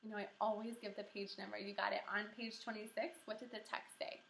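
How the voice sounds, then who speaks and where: A woman teaching kindergarten through a read-aloud lesson, encouraging and animated, asking questions and pausing for answers. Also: mid-range voice, gravelly, slight background noise. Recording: roomy echo.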